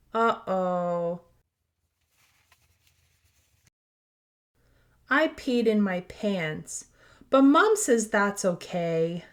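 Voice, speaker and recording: Woman voicing an eager little toddler, very animated. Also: mid-range voice. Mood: hesitant. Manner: simple, expressive, sing-song storybook delivery. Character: eager, proud toddler learning to use the potty.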